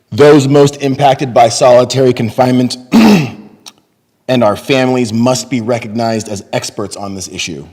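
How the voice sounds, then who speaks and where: A man's voice: on the low side, darkish tone. A middle-aged man giving a scripted first-person testimony reading at a synagogue podium. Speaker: readers theater performer. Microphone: podium microphone on a boom. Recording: reverberant hall.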